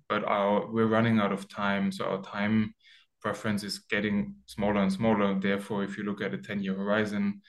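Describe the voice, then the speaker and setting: A man from Austria, a founder as interview guest, explaining step by step over a remote call with many ums. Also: fairly low pitch. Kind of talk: casual interview talk.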